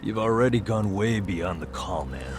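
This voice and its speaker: An actor playing a bitter, world-weary, guilt-ridden man who is gruffly protective, in a blunt, cynical video game performance. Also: lowish voice, expressive, normal speed, over music, natural voice. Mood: urgent concern. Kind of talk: dramatic video game voice acting.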